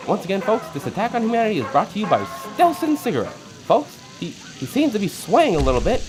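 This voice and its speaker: A man playing a theatrical, excitable 1940s radio newscaster with a formal, melodramatic, breathless delivery. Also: high-pitched, brisk, music in the background, low-quality recording. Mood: excited, breathless. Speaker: radio news announcer.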